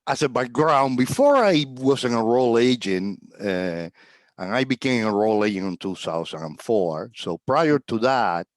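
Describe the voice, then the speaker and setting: A middle-aged man from Cuba, a tax agent talking as a guest on a video call in a relaxed, rambling way with fillers and chuckles. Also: medium pitch, normal speed, dry recording. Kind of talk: casual video-call interview.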